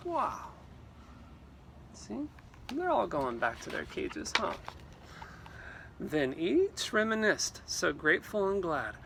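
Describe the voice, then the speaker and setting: A man reading a storybook aloud to children in an animated, theatrical way, with exclamations and asides. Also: sits high, gravelly, slight background noise. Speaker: read-aloud teacher.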